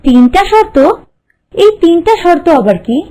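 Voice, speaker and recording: High, lilting Bangladeshi servant girl played by a woman. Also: sing-song, measured pace, warm tone, full character voice. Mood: puzzled, curious. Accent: colloquial Bangladeshi. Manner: plain rustic colloquial speech, questioning. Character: humble, puzzled young servant girl made queen. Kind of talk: scripted cartoon dialogue. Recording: music bed under the voice.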